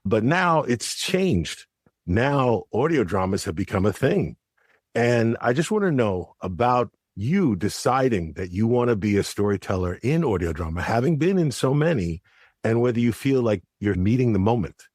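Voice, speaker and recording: A man's voice: medium pitch, big pitch swings, normal speed, a little mellow, no echo, studio quality. A man co-hosting a podcast, introducing the guest with enthusiasm and asking long, winding questions. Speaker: podcast co-host.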